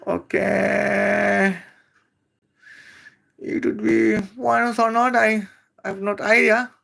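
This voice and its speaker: A speaker from Bangladesh who speaks English as an after Bengali, giving a rambling, halting comedic roast full of hesitations. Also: mid-range voice, flat delivery, vocal fry, fairly bright tone. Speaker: video creator.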